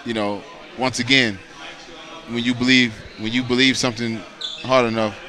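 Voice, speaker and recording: A man's voice: mid-range voice, level tone, moderate pace, pushed and bright, rough recording. An American man in his late thirties, a boxer chatting casually as an interview guest, earnest and rambling, often saying "you know.". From United States.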